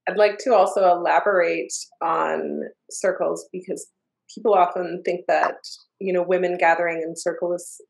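A woman's voice: lowish voice, fairly even intonation, normal speed, vocal fry. Woman host with a roomy echo. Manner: earnest, storytelling, acts out quotes. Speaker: podcast host. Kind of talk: casual conversational interview.

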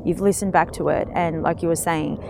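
A woman with a somewhat low voice chats in an encouraging, lively way, backing up her co-host. Faint background noise sits under her voice. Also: fairly quick, creaky. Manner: encouraging, animated, talks about astrology. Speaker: podcast co-host. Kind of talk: casual supportive chat.

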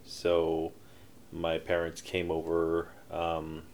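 A man's voice: deep voice, monotone, very slow, a little mellow. A middle-aged man telling his life story in a casual interview, rambling with many fillers and restarts. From Canada. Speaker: interview guest, entrepreneur.

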